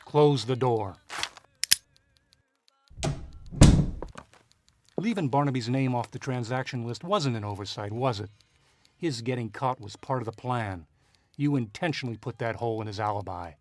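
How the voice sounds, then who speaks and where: A man's voice: medium pitch, fairly quick, darkish tone, no room sound, lightly acted. Actor as a shrewd hard-boiled male private eye, dry sound. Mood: stern, angry. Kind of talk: radio drama acting with noir-style narration.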